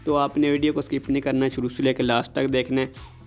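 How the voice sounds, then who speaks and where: A man presents a phone app tutorial in a polite, casual way. His pitch stays fairly flat and his voice has a creaky, fried texture. Music plays faintly behind his narration. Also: quick pace. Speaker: host of a tech tutorial channel.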